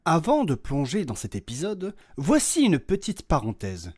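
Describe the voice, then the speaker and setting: A man's voice: fairly high pitch, wildly swooping pitch, normal speed, a little mellow, slightly rough. A man delivering scripted narration as the narrator and creator of an audio fiction podcast. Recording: faint music behind the voice.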